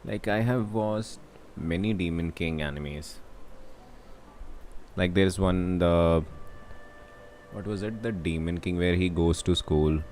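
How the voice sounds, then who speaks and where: A man's voice: deep voice, slow pace, pauses often, darkish tone. A man with an Indian accent chatting casually as he livestreams a game, with faint background noise.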